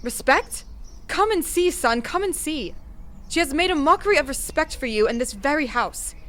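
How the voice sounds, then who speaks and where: A woman's voice: high voice, expressive, normal speed, fairly bright tone. A woman playing an older, haughty queen mother in an audio drama, theatrical and emphatic. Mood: alarmed, scornful. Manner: imperious, theatrical, emphatic repetitions. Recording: music under the voice.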